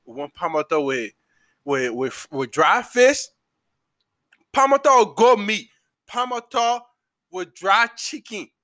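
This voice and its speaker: Liberian man, Liberian accent, podcast host, emphatic heated rant, high voice. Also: takes it slowly, pauses often. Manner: animated, emphatic, repeats words when heated. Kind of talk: ranting solo podcast monologue.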